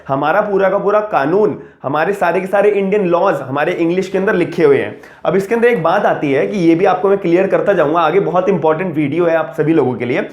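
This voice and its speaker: Young guy giving earnest advice, fairly high voice, keeps repeating himself, roomy echo. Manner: earnest, repetitive, mixes English words into Hindi. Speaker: host of a legal guidance channel. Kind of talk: casual explainer talk to camera.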